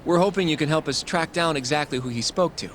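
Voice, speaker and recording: A man's voice: on the high side, fast talker, fairly bright tone, a little hiss or hum, close to an everyday voice. An actor voicing an earnest, polite young male legal associate in a game cutscene, eager and a little anxious. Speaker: law office assistant.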